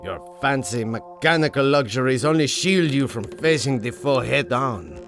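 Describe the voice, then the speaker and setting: A man's voice: mid-range voice, expressive, moderate pace, fairly bright tone, faint music behind. A man playing a pompous big-game hunter obsessed with trophies, making grandiose, theatrical declarations in a pulp radio adventure.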